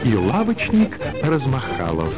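Expressive male narrator over loud music. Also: medium pitch, measured pace. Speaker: narrator in an audio play. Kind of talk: dramatised literary narration.

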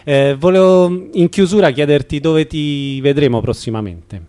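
A man's voice: on the high side, moderate pace, warm tone, clean tone. A man hosting a radio show, interviewing his guest in casual conversation. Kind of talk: casual chat.

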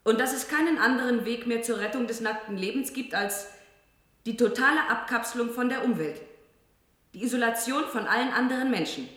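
A woman plays a solemn, insistent female reporter in a vintage radio drama. Her voice is fairly high and deliberate, echoing strongly around the room. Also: fairly bright tone, natural voice. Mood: grave, insistent. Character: grave, insistent female reporter at a press conference. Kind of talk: 1970s German radio drama acting. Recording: very echoey room.

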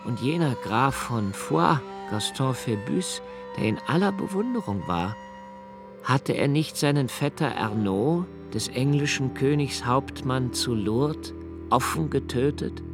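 An actor playing a brooding, solitary diarist in a radio play, with a measured, introspective delivery and an anguished, searching temper. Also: male voice, medium pitch, expressive, over music. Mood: somber reflection. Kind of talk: literary monologue in a radio play.

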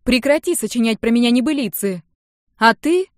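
A narrator plays a combative young woman in an audiobook family squabble. She protests in exasperated exclamations, her voice pushed up high and emphatic, with a dry, roomless sound. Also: expressive, normal speed, no room sound, close to an everyday voice.

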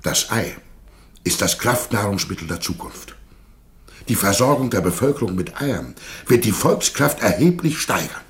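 An actor in a radio play playing a proud but reluctant Germanic prince and warlord, earnest and declamatory. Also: male voice, mid-range voice. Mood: pompous, forceful. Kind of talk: theatrical radio play dialogue.

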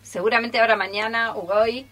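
A woman's voice: fairly high pitch, steady tone, fairly quick, fairly bright tone. An Argentine woman in casual radio chat, speaking as an arts school representative with an informal, enthusiastic way of talking.

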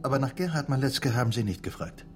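A man's voice: medium pitch, lively intonation, fast, over music, natural voice. An actor playing a sceptical man who questions dryly and probingly in a radio drama.

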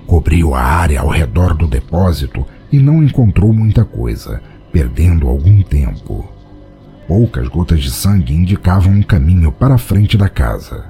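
A male narrator tells a dramatic story in a Brazilian accent. His voice is very deep and soft-toned, with lively swings of intonation, and music plays behind it. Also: normal speed, vocal fry.

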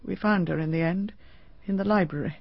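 An actress playing a chatty, easily alarmed middle-aged crime novelist in a radio drama. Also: female voice, on the low side, a little fast, creaky, no room sound. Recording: dull archive radio recording.

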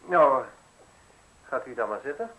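A man's voice: medium pitch, very animated, normal speed, sharp tone, low-quality recording. An actor playing a brusque, impatient man of standing in a Dutch radio play. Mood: impatient, annoyed. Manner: brusque, demanding. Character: brusque, impatient, famous man receiving a visitor. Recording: hissy old radio drama recording.

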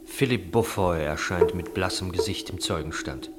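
A man acting as the detached narrator of a literary radio play, reading in a measured, deliberate way. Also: low voice, close to an everyday voice. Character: detached male narrator of a surreal scene. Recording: music playing behind the voice.

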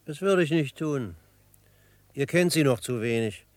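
Male actor as young Wild West greenhorn, medium pitch, composed, confident, gently teasing, dry radio play recording. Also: lively intonation, a little slow, dry recording, lightly acted. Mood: calm. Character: calm, confident young greenhorn frontiersman with writing ambitions. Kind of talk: German radio drama acting.

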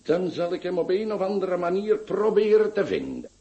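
An actor playing a pompous, eccentric doctor who lectures and scolds grandly in a radio play. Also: male voice, sits high, huge pitch swings, moderate pace, dark tone, muffled recording. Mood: lecturing. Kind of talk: radio drama acting.

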